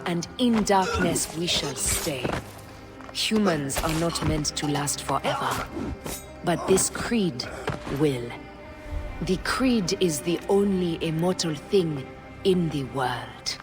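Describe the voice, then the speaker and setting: Female assassin: solemn, deliberate, breathy, unhurried game drama delivery, music behind. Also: deep voice, expressive. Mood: solemn resolve. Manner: grave, deliberate, formal. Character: solemn, resolute woman assassin, betrayed former servant of queen. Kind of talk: dramatic video game acting and narration. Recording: music under the voice.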